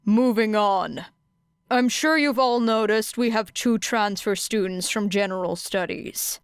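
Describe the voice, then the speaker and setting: Woman playing weary stern male teacher, deadpan, curt, slow delivery, clear, audiobook character. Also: mid-range voice, no echo, studio quality, close to an everyday voice. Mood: dry, curt.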